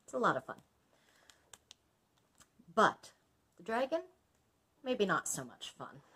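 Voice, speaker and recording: A woman's voice: mid-range voice, very animated, slow, sharp tone, husky. A woman librarian reading a story aloud expressively, breaking off to explain things in chatty asides.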